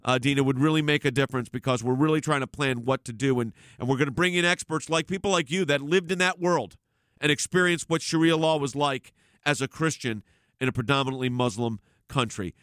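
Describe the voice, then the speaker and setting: A man's voice: medium pitch, fairly quick, fairly bright tone, smooth, no room sound, studio quality. A man hosting a talk radio show, emphatic and rambling with frequent restarts. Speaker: radio host.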